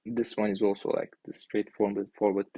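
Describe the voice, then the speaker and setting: A young man from Turkey with a Turkish accent, thinking aloud in halting, filler-heavy speech while he reviews an app on screen. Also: fairly low pitch, level tone, mellow, breathy edge, no room sound. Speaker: indie maker reviewing an app. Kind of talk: casual screen-share commentary.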